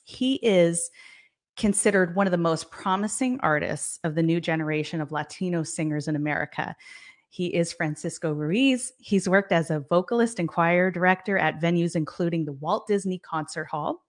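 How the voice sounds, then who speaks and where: A woman's voice: on the low side, moderate pace. A woman hosting an interview, reading a prepared introduction and then asking encouraging questions. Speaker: podcast host.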